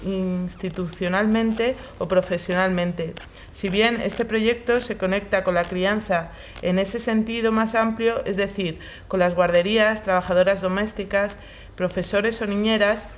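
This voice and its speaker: A middle-aged woman reading an essay aloud with careful pauses and hesitations, in a large hall. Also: mid-range voice. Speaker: reader in a shared reading group. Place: large hall, seated on the floor.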